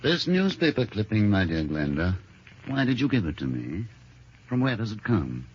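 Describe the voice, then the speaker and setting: An actor playing a controlled, resigned middle-aged husband in a measured, formal, theatrical old-time radio drama style. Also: male voice, fairly low pitch, very animated, a little mellow. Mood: puzzled, wary. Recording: dull, noisy old radio recording.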